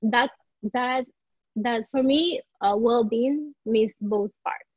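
A woman speaks in a slightly high, husky voice, often restarting her sentences as she searches for the right words. She sounds like a coach being interviewed on a podcast. The recording is dry and a little dull. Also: slow, no room sound. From Puerto Rico. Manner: searching for words, frequent restarts, soft laughs. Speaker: life coach, podcast guest. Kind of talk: conversational interview.

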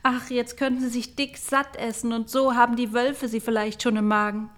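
Woman as remorseful peasant mother, highish pitch, lamenting, anxious, wailing, fairy-tale audio play. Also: level tone, moderate pace, lightly acted. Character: poor basket maker's wife, remorseful anxious mother.

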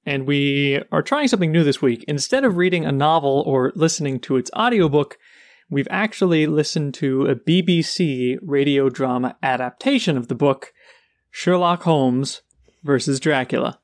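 A man's voice: a little high, lively intonation, normal speed, no room sound, studio quality. A man co-hosting a book podcast, explaining characters and history in an informative way. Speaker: podcast co-host. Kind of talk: casual book chat.

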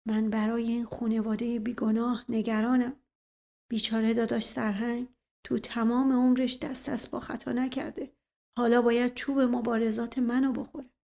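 A woman's voice: a little high, steady tone, close to an everyday voice. A woman voicing a self-important, paranoid older uncle, pompous and grave, in a storybook reading. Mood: self-pitying.

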